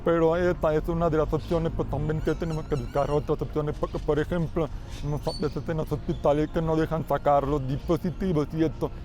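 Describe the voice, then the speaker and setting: Man, Mexican accent, earnest testimony, slightly high, faint music behind. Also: steady tone, a little fast. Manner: earnest, explanatory, slightly uneven phrasing. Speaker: testimony interviewee.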